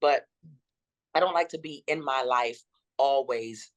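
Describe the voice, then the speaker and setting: A woman in her fifties with a deep, rolling voice, telling her story dramatically as an interview guest. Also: measured pace. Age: 50s. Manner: dramatic and expressive.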